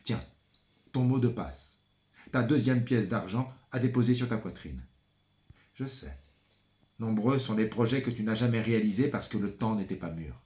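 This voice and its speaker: A man performing a theatrical monologue as a brooding son, the ferryman of the dead, addressing his father in a deliberate, dramatic, incantatory manner. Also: lowish voice, darkish tone, lightly acted. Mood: hushed, solemn. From France. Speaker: stage performer.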